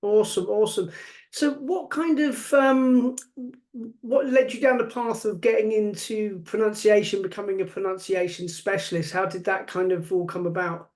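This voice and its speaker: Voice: mid-range voice, steady tone, normal speed, darkish tone. A podcast host interviewing a guest in a casual, hesitant way, asking questions over an online call. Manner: hesitant, many fillers and restarts.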